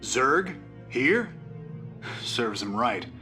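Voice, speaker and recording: An actor voicing a sardonic, hot-tempered rebel soldier in a game cutscene, sarcastic and informal. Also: male voice, mid-range voice, sing-song, drawn-out words, music in the background. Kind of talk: dramatic video game cutscene acting.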